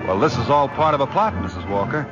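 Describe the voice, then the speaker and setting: An actor playing a friendly, easygoing young bachelor lawyer, in 1940s radio style. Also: male voice, medium pitch, very animated, fast talker, close to an everyday voice. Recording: muffled old radio broadcast with music.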